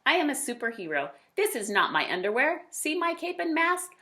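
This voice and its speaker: Woman voicing a boastful little boy adventurer, pitched up. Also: very animated, normal speed, sharp tone, heavily acted. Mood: boastful, excited. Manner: declarative, emphatic boasting. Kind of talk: children's storybook character reading.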